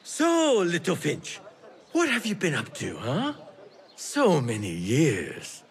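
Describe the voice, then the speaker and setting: An actor playing a wily, affectionate old tea merchant in a video game, with a theatrical, teasing, exclamatory manner. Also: male voice, on the high side, wildly swooping pitch, slow, full character voice. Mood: delighted, effusive. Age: older. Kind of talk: video game character acting.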